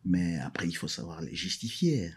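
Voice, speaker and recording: A man with a very deep, heavily husky voice. He is a professor and a Mashi speaker, answering in an interview. He hesitates with fillers, then presses his points through repetition. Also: big pitch swings, normal speed, darkish tone. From South Kivu, DR Congo. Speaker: guest, professor.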